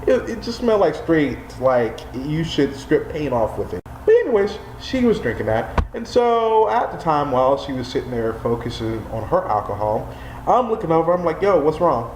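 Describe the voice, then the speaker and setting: A man's voice: on the high side, big pitch swings, moderate pace, a little mellow. A man in his twenties with an American accent, telling a comedic story casually with exaggerated, profane delivery. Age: 20s. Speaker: storyteller vlogger. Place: small room indoors, on a couch. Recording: roomy echo, faint background noise.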